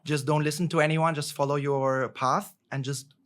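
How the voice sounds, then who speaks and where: A man's voice: a little high, moderate pace. Upbeat German-accented man in his thirties. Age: 30s. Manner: lively and upbeat, peppered with "like" and "you know". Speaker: guest, travel vlogger. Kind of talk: casual podcast conversation.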